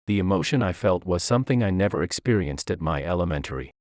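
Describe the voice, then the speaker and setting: A man's voice: sits low, expressive, quick pace, darkish tone, no echo, studio quality. A man reading a scripted dramatic story as its narrator.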